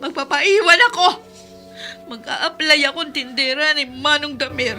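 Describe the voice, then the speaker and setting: An actress playing a peace-loving, anguished wife in a radio drama, with an emotional, pleading way of talking. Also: female voice, high-pitched, moderate pace, pushed and bright, faint music behind. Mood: tearful pleading. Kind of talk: Tagalog radio drama acting.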